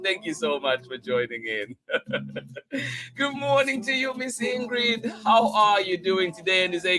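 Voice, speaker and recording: A man's voice: high voice, low-quality recording. An American man hosting a children's online story time, effusively greeting viewers and repeating his thanks. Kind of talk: live stream greetings to viewers before a picture book read-aloud.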